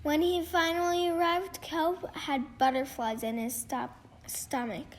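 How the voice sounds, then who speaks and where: A female reader reading a children's picture book aloud in a halting way, stumbling over words and repeating phrases. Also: high voice, steady tone. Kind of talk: storybook read-aloud.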